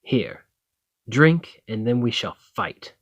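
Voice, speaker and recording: A man's voice: medium pitch, lively intonation, slow, gravelly, no echo, full character voice. A man playing a dominant, teasing fantasy thane in an ASMR roleplay, commanding and flirtatious. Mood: challenging, playful. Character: dominant Nordic fantasy thane, teasing, confident warrior lord. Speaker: roleplay audio performer. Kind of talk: ASMR fantasy roleplay acting, addressing the listener.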